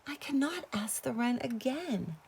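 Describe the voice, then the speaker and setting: A woman's voice: a little high, sing-song, unhurried, darkish tone, slight background noise, pitched above the performer's own voice. A woman voicing a shy, dutiful, reluctant young peasant girl in a storybook. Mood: pleading, ashamed.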